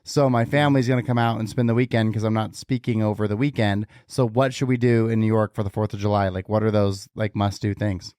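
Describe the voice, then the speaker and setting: A man's voice: on the low side, level tone, a little fast, few pauses, a little mellow, dry recording, studio quality. A man hosting a podcast, asking his guest questions in a conversational interview style.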